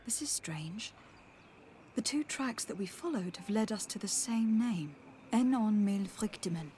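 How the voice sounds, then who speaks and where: An actress playing a composed young noblewoman diplomat in a video game, speaking in a measured, formal, earnest way. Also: medium pitch, lively intonation, dark tone, a little hiss or hum, natural voice. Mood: pensive. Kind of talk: video game cutscene acting.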